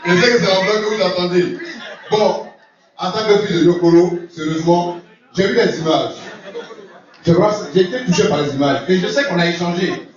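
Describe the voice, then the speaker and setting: A man's voice: high-pitched, fairly quick. A man giving a jovial, laughing speech as sponsor at a community gala, often repeating himself. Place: large event hall. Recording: roomy, distant, lo-fi recording with crowd. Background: crowd of guests.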